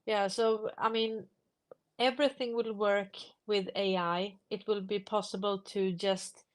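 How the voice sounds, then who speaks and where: A woman with a Swedish accent, a native Swedish speaker, hosting a casual unscripted discussion and explaining things with halting restarts. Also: medium pitch, steady tone. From Sweden. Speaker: live stream host.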